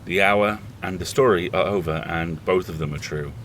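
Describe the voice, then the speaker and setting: Low-voiced, reflective horror host, played by a man. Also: moderate pace, a bit bright, a little hiss or hum. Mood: trusting, resolute. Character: weary, reflective horror host speaking intimately to listeners. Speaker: horror podcast host playing himself. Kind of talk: dramatic horror audio drama monologue.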